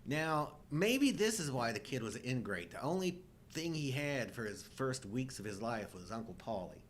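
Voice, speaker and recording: A man's voice: sing-song, moderate pace. A middle-aged man co-hosting a live show, chatting casually about movies with wry, jokey exaggeration. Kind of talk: casual humorous movie-discussion chat. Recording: noticeable low rumbling background noise.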